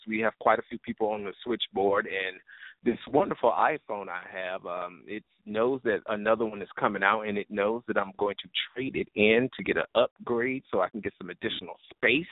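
Male radio host, low register, informal and rambling, slangy delivery, roomy sound. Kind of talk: casual internet radio hosting. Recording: roomy, phone-like internet radio line.

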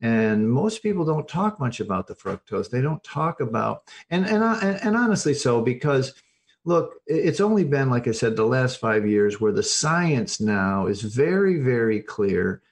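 A mature man speaks as an interview guest in a medium-pitched voice. He explains things informally and with emphasis, halting and repeating words as he goes. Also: sing-song. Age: middle-aged or older.

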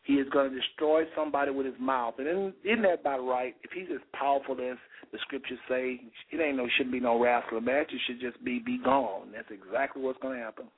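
Slightly raspy man preaching over radio. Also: mid-range voice, a little fast. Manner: fervent, rhetorical repetition, reads scripture aloud. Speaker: radio show host. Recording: phone-like radio call-in sound.

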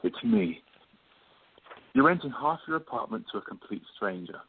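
A man's voice: lowish voice, big pitch swings, moderate pace. A man acting a casual, teasing best friend in a radio play, familiar and probing. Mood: teasing, curious. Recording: noisy, tinny phone-like audio.

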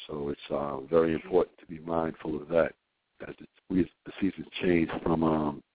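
Hoarse man calling in, very deep. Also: little pitch movement, moderate pace, no room sound. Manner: rambling, earnest, many fillers. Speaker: caller. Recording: dry, tinny phone line.

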